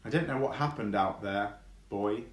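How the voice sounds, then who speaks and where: A man's voice: fairly low pitch, normal speed, roomy sound, natural voice. A man voicing a caring female pet owner in a children's story, concerned and polite.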